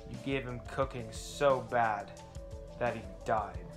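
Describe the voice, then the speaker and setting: A bumbling assassin from the future, stumbling over his words and trying to sound menacing, played by a young man. He's recorded inside a house on a home camera. Guitar music plays clearly underneath. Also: medium pitch, takes it slowly, close to an everyday voice. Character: bumbling time-traveling male assassin from the future. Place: family home, indoors.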